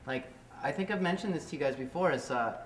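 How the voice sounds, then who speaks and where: A man's voice: fairly high pitch, animated, fast. A man on a casual review panel making his points emphatically. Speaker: comic review show panelist. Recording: echoey room, distant mic, faint noise.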